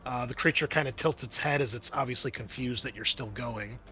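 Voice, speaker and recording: Bright-voiced man running a tabletop game. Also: medium pitch, fairly quick. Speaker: game master. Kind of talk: narrating and refereeing a tabletop roleplaying game. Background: background music.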